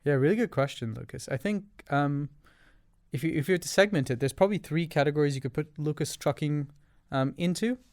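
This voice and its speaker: A man from Canada, a guest on a podcast, who gives measured answers laid out in ordered points. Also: a little high, darkish tone, dry recording, studio quality. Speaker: guest, revenue operations leader. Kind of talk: interview answers.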